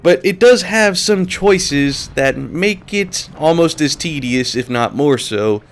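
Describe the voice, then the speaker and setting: A man's voice: a little high, lively intonation, slow. Casual, slightly sick male gamer. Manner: casual, rambling, laughs often. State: sick, a bit nasal. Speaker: let's play host. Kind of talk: gameplay commentary.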